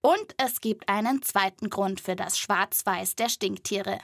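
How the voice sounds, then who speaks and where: A woman presenting a children's podcast as an animal reporter, in lively scripted narration addressed to young listeners. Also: mid-range voice, measured pace, creaky, no echo, clean studio sound.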